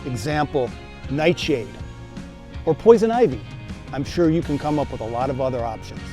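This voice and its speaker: Measured man narrating a lesson. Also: medium pitch, faint music behind. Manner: steady, explanatory, measured. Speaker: gardening show host. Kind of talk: scripted educational narration.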